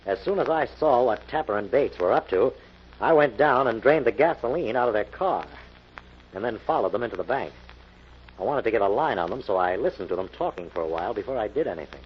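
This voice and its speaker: An actor playing a calm, confident detective, explaining things articulately in 1940s radio mystery style. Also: male voice, on the low side, a little fast, husky. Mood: assured, explaining. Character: Jim, calm confident male detective explaining a foiled crime. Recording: dull, noisy old-time radio recording.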